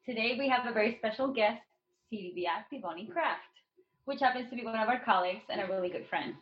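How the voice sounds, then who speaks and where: A woman's voice: a little high, fast talker. Woman, podcast host, questions in a row, dull audio. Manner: asks questions in long strings. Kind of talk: podcast interview, asking questions.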